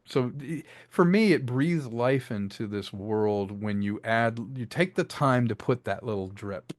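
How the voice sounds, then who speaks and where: A man's voice: medium pitch, a little slow. A man giving a casual interview as a guest, explaining his audio production work with enthusiasm. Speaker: interview guest, audio drama creator and actor.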